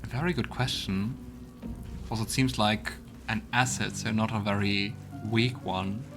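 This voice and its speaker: A man's voice: fairly low pitch, steady tone, measured pace, over music. A man giving casual let's play commentary, thinking aloud and reading out dialogue options.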